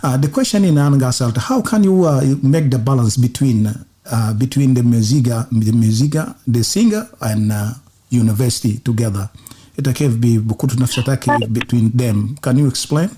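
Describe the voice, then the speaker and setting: A man hosting a radio interview in a hesitant, halting way, mixing English with another language. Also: mid-range voice, dark tone.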